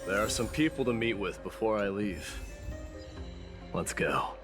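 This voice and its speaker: Deep stoic warrior prince guy, formal and determined, game cutscene with music behind. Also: expressive, measured pace. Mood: resolute. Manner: solemn, formal, resolute. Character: stoic, proud warrior prince demigod, devoted to protecting others. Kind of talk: dramatic video game voice acting.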